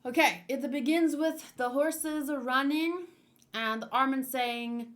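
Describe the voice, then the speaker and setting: A woman livestreaming in a casual, expressive and playful way, chatting and commenting as she reads aloud. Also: high-pitched, takes it slowly. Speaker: streamer hosting a manga read-along.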